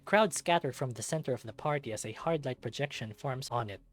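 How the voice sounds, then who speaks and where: A medium-pitched voice reads a visual novel aloud as a dramatic narrator. Music plays behind the reading. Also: a little fast. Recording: background music under the voice. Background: game music.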